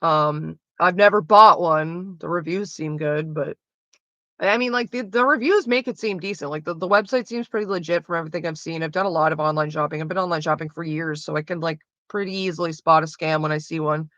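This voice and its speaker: Bright-voiced, profane Canadian woman streaming. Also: low-pitched, fairly quick, no echo, muffled recording. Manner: rambling and profane, loose with frequent fillers. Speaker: livestreamer. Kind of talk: casual livestream chat with viewers.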